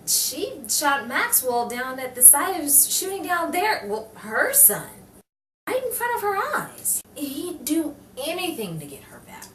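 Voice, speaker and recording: A sardonic newspaperwoman from a noir radio play, acted by a woman, tells her story theatrically. Her voice is on the high side and swings widely, heard from a distance in a roomy, echoing space. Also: big pitch swings, unhurried, a bit bright, full character voice. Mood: dramatic, knowing. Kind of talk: old-time radio noir acting and narration. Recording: roomy distant stage recording, faint noise.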